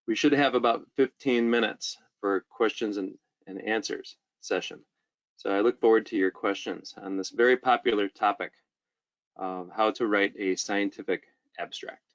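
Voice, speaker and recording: Male webinar presenter, lower register, informal and hesitant delivery, lo-fi band-limited audio. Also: fairly even intonation, moderate pace. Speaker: webinar presenter, journal editor.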